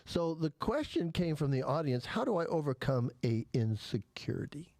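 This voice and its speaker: Male podcast host, lisp, mellow dark tone, dry close sound, loose rambling delivery. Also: fairly high pitch, very animated, normal speed, gravelly, dry recording. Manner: rambling, with many restarts and fillers. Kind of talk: casual chat.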